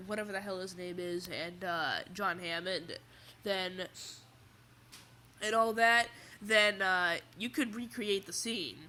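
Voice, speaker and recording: A teenage boy with a stuffed nose and slightly raspy voice, rambling in casual chat as a toy collector video host. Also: sits high, pushed and bright, slight background noise. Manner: rambling, restarts often, chatty. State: stuffy nose, under the weather.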